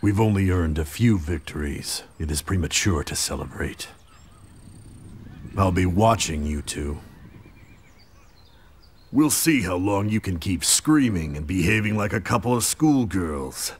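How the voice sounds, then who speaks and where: An actor playing a world-weary, sardonic middle-aged samurai warlord with a measured manner in an English video game dub. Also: male voice, low voice, expressive, breathy edge, full character voice. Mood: stern.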